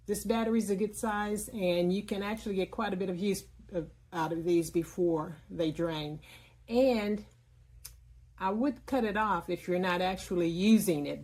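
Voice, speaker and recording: Woman casually demonstrating a product, often pausing. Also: lowish voice, darkish tone, breathy edge. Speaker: product reviewer.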